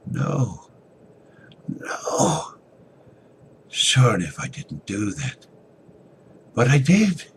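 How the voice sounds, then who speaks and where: A man's voice: medium pitch, big pitch swings, very slow, gravelly, long pauses, noisy background, pitched above the performer's own voice, exaggerated voice. A man voicing an anguished, guilt-stricken character in a dramatic story reading, his delivery agonized and emphatic. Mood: anguished, remorseful. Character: anguished man, stunned with guilt after beating someone.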